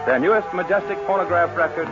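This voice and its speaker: A man's voice: high voice, quick pace. A man announcing a western radio show in a declamatory, showmanlike 1940s style. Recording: muffled 1940s radio recording, music behind.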